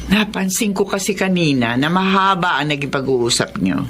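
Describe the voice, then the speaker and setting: An observant businesswoman in a radio drama, probing as she speaks. Her pitch moves in wide swings, over faint background noise. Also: moderate pace. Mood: curious, probing. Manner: probing, expressive. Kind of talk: Filipino radio drama acting.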